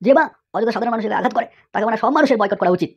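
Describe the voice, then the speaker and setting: A woman acts out an angry, swaggering cartoon man with a raspy, rough voice. He rants and peppers his speech with slangy tags. The sound is a little dull and lo-fi, like a homemade comic dub. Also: fairly high pitch, racing. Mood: angry ranting.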